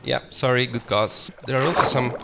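A man's voice: mid-range voice, steady tone, normal speed, vocal fry, noisy background. A young adult man from Italy with an Italian accent, chatting casually and enthusiastically as he narrates his Minecraft gameplay, often restarting his phrases. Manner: chatty, self-correcting, enthusiastic about the game. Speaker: Minecraft let's play host. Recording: slightly dull lo-fi audio with music behind.

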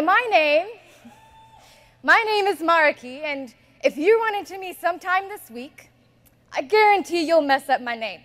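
A young woman from Ethiopia, a native Amharic speaker, giving a lively product demo on a large conference stage. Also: very high-pitched. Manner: lively, upbeat presenter. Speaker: software engineer presenting.

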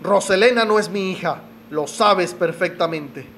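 Man acting proud magnate, higher-pitched voice. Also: measured pace, a bit bright, faint music behind. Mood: defiant, exasperated. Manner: indignant, melodramatic. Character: proud, stern middle-aged business magnate father. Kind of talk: radio soap opera acting.

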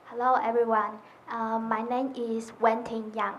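A woman from Taiwan gives a slow, hesitant self-introduction in a large lecture hall. Faint room noise sits behind her even voice. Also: a little high. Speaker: law student, audience member.

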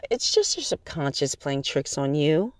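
A woman's voice: sits low, very animated, a little slow, no echo, studio quality. A woman playing a soothing, playful bride in a horror radio drama, coaxing and teasing. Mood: casual. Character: soothing, playful bride humoring her nervous husband.

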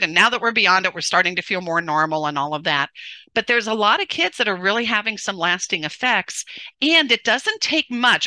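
Loud, bright-voiced middle-aged woman coach. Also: medium pitch, no echo. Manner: loud, animated, many restarts. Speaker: parenting coach guest. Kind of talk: casual interview conversation. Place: home room, indoors. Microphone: headset on a video call.

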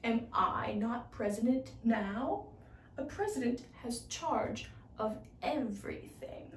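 A woman's voice: high-pitched, a little mellow. A teenage young woman voicing a bossy, scornful male inventor in a storybook. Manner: haughty, scornful. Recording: roomy, distant microphone.

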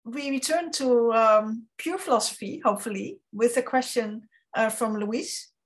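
A woman's voice: fairly high pitch, a little slow. An older woman moderating an online seminar over a video call, with light, amused interjections. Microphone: laptop webcam video call.

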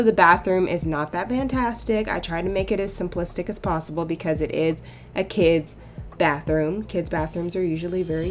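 A young woman talks casually through a game build, wandering into animated little asides. Her voice sits a touch low and sounds a bit hoarse, cracking now and then. Faint music plays underneath. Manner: chatty, rambling, animated asides. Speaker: sims builder YouTuber. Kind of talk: casual voice-over commentary. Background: soft background music.

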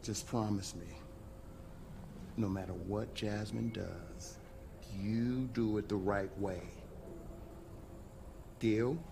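Gruff, gravelly male garage owner. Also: fairly low pitch, steady tone, very noisy. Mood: resigned, firm. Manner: gruff, measured, cautioning. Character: gruff, weary garage owner, protective mentor. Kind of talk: video game voice acting.

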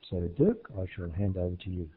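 A man's voice: low-pitched, big pitch swings, a little fast, husky. A man hosting a webinar, formally introducing the next speaker in brief words. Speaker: webinar host. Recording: phone-like webinar audio.

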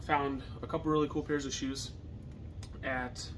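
Young american guy chatting to camera, pretty flat halting delivery, noisy basement sound. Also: mid-range voice. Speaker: reseller co-hosting a video channel. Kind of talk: casual chat to camera. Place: partially finished basement, indoors. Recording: noisy basement room recording.

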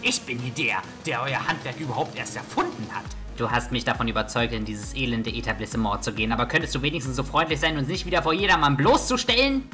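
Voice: mid-range voice, lively intonation, fast, close to an everyday voice. In a parody audio drama, a performer voices an irritable, self-important male detective, grumbling and theatrical. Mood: annoyed, haughty. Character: irritable, self-important old-school male detective named Edgar, given to visions. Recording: music under the voice.

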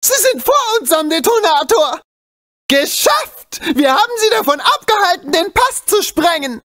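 A man voicing a small, wisecracking, excitable animal sidekick in a video game, with an urgent, cheeky, exclamatory delivery. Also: extremely high pitch, normal speed, exaggerated voice. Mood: alarmed, excited.

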